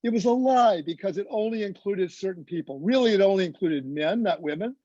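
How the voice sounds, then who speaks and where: A man with a notably high but warm, mellow voice reflects in a preacherly style. He phrases deliberately, item by item. The video-call sound is slightly dull. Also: lively intonation, dry recording. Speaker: roundtable panelist. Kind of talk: reflective, preacherly discussion remarks.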